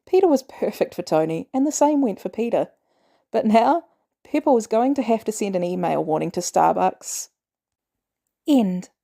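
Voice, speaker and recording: A woman's voice: medium pitch, animated, normal speed, creaky, dark tone. A woman reading scripted fan fiction aloud as a narrator. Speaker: podfic narrator.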